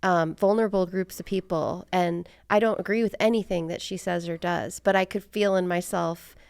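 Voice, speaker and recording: Clear-voiced woman interviewer with creaky edges. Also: on the low side, fairly even intonation, moderate pace, darkish tone, no room sound, studio quality. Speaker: podcast host, interviewer. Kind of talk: casual reflective interview conversation.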